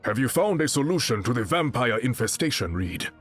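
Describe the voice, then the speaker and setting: A man's voice: medium pitch, very animated, brisk, a bit bright, breathy edge, soft background music. An actor playing a dignified, resolute warrior king in a superhero video game, speaking formally and with composure. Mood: grave.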